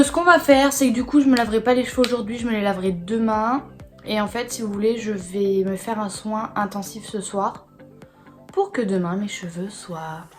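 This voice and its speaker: Hoarse young woman chatting slangily. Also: mid-range voice. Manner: chatty slangy, swears casually. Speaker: beauty vlogger. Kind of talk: casual vlog chat to camera.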